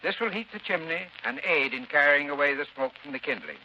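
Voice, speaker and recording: A man giving scripted 1930s radio advice as a sponsor's expert, formal, instructional and clearly enunciated. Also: fairly high pitch, expressive, fairly quick. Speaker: heating expert in a sponsor spot. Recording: muffled 1930s radio broadcast, faint noise.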